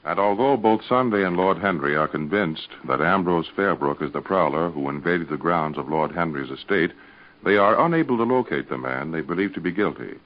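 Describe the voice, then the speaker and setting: An American man reading scripted narration and advertising as an old-time radio serial announcer, with emphatic, deliberate enunciation. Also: deep voice, lively intonation. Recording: dull, band-limited vintage radio recording.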